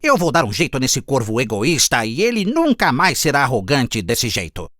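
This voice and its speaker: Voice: medium pitch, huge pitch swings, normal speed, a bit bright. An actor voicing a sly, cheeky, clever fox in a children's cartoon fable, with a coaxing and smug manner. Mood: scheming.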